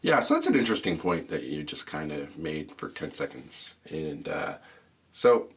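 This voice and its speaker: A man with a deep voice co-hosting a casual gaming podcast, jokey and rambling, laughing often. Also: sing-song, moderate pace, fairly bright tone. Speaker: podcast co-host.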